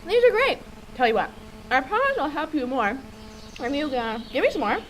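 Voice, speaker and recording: Comic radio beaver, played by a woman: high, animated, apologetic guard with a sweet tooth. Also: a little fast, slight background noise, rough recording. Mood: greedy, pleased. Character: fierce but over-polite, sweet-toothed guard beaver. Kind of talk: comic radio play acting.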